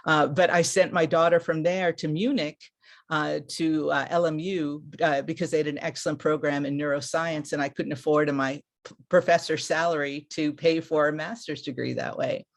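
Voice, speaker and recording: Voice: mid-range voice, fairly even intonation, moderate pace, creaky. Middle-aged panelist, candid unscripted talk, conversational, restarts and fillers, video call mic. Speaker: webinar panelist, international credit evaluator.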